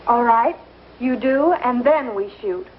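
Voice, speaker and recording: An actress playing a firm but kindly young schoolteacher in a 1950s television Western, speaking with precise, proper diction. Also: female voice, fairly high pitch, lively intonation, takes it slowly, a little hiss or hum. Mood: firm, prim. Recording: muffled old 1950s TV soundtrack, loud music.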